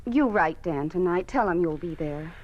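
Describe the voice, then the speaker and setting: An actress playing a worried, principled wife and mother in an old-time radio drama, emotional and halting. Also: fairly low pitch, animated, highs cut off. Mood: pleading, resigned.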